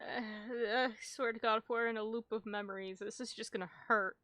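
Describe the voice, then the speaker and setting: Bright, high-voiced woman streamer reacting. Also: brisk, no echo. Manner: expressive, exclamatory, sweary. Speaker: game streamer. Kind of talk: emotional live reaction commentary. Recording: music behind the voice.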